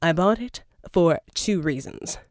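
A woman's voice: fairly low pitch, animated, a little slow, no echo, studio quality. A woman voicing a flamboyant, smug, scheming male villain in an audiobook, with a theatrical, wheedling manner. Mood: impatient, scheming. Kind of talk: audiobook character dialogue.

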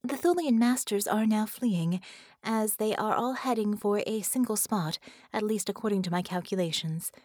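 A woman voicing a serene, polite artificial intelligence, measured and formal, in an audiobook. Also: medium pitch, darkish tone, no echo, clean studio sound, lightly acted.

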